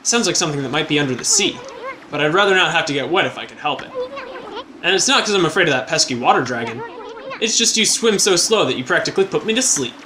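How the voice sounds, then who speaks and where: A man's voice: fairly high pitch, big pitch swings, fast talker, noisy background. A man voicing a brash, cocky tiny male wandering artist who talks rudely and boasts. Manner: rude, wisecracking, boastful. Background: game music.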